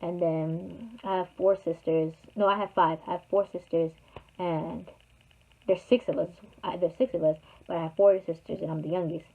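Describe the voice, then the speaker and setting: A woman's voice: fairly low pitch, fairly even intonation, normal speed, gravelly. A young woman telling a personal story to her viewers in a rambling, chatty way, full of fillers. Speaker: vlogger. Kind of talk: casual storytime. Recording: tinny phone-like sound.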